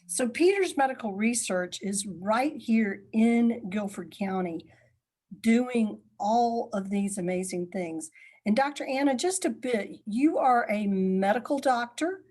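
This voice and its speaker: A woman's voice: on the high side, animated, measured pace, darkish tone. A woman interviewer asking her guest polite, friendly questions.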